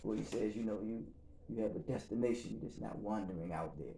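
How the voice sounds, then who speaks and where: A man leading a recovery group session in a calm, measured way, with encouraging murmurs and thoughtful pauses. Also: fairly low pitch, gravelly. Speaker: facilitator and reverend.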